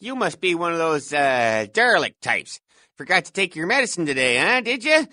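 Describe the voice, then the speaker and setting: A self-important, folksy night-shift security guard for a video game. His delivery swings up and down with officious bluster and hesitant filler. The voice is bright and forceful, recorded very dry and close. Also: a little high, very animated, moderate pace, no echo. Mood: condescending, chummy. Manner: pompous, officious, hesitant filler-laden patter. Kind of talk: scripted video game voice lines.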